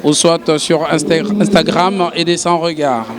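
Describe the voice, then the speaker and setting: A man giving a formal, halting spoken appeal as a charity president. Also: fairly high pitch, level tone, crowd noise. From Congo. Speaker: charity president, former footballer. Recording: loud music behind voice. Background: loud music.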